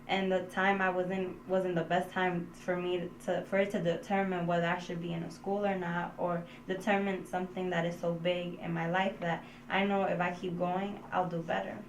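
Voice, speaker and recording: A young woman, a student, giving an informal and hesitant personal testimonial. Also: on the low side. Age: young adult. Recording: echoey room, faint background noise.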